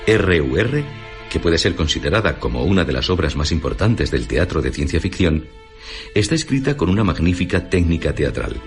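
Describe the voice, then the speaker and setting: A man's voice: low voice, very animated, moderate pace. A man with a Castilian Spanish accent giving formal, emphatic scripted narration on the radio. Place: radio studio. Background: clear background music.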